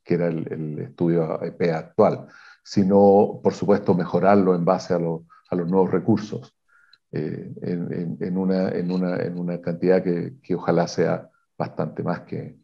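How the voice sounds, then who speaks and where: A man, a mining exploration executive, giving measured technical answers in an interview, pausing often with fillers and restarts. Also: low voice, little pitch movement, creaky, dull audio. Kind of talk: interview answers on a video call.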